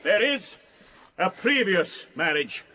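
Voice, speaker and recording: An actor playing a precise, formal London solicitor in a radio drama. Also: male voice, high-pitched, very animated, bright, forceful tone, heavily acted. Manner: formal, measured declarations.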